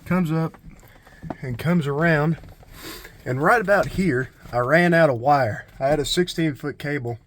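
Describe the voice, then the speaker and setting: A man's voice: a little high, unhurried. A man giving a casual, unscripted hands-on DIY walkthrough, thinking aloud as he goes. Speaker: DIY vlogger. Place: in and around a pickup truck.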